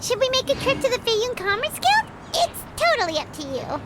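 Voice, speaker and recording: Tiny chirpy companion sprite: very high, bouncy, eager. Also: female voice, big pitch swings, normal speed, heavy background noise. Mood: excited. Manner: bubbly, eager, speaks of herself by name. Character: tiny floating girlish companion creature, chirpy. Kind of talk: video game voice acting.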